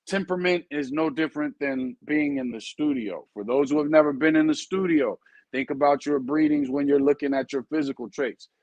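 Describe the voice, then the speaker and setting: A man's voice: fairly high pitch, fairly quick, darkish tone, dry recording, highs cut off. A man hosting a casual show, earnestly explaining things with everyday comparisons and checking in with his listeners. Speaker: show host and dog breeder. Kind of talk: casual podcast talk.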